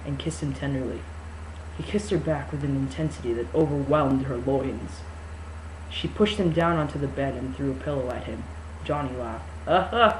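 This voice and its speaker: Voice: mid-range voice, a little mellow. An amateur reader performs a novel in mock-dramatic style. The delivery is sarcastic and incredulous, with sweary asides. The room echoes and there is faint noise behind the voice.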